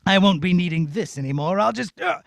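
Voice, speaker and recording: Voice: medium pitch, animated, a little fast, vocal fry, dry recording, voice pushed down. A performer voicing a gloating, scheming, touchy villain in a theatrical children's puppet show. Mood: smug, gloating. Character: gloating scheming male villain, touchy, loves being bad.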